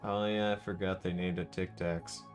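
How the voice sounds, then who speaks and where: A man's voice: deep voice, level tone, normal speed. A man livestreaming a video game with casual, playful, laid-back commentary. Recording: game music under the voice. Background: game music.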